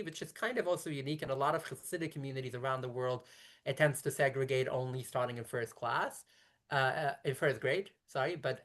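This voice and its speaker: A woman in her thirties from Brooklyn whose first language is Yiddish, speaking as a rabbi in a conversational interview and explaining at length in quick, self-correcting runs. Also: rumbling low, a bit bright. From Brooklyn, New York. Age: 30s. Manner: talks quickly in long explanatory runs with frequent restarts.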